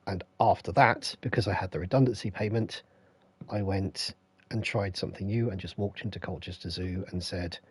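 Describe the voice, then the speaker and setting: Croaky low-voiced English man. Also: normal speed, no echo. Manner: rambling, anecdotal, stops to greet viewers. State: croaky, unwell. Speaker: streamer. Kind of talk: casual storytelling to live chat. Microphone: headset.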